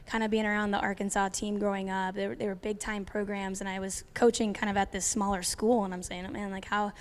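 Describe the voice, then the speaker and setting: Smooth-voiced woman coach, casual. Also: medium pitch, fast talker. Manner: relaxed, many ums and restarts. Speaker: head cross country coach. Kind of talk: casual panel talk.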